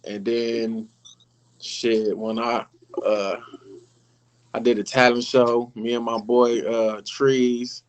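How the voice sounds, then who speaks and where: A man's voice: medium pitch, level tone, takes it slowly, pauses often, clear, resonant tone, low-quality recording. Man from Illinois, laid-back and profane. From Illinois, North Chicago area. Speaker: guest rapper. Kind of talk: casual podcast conversation.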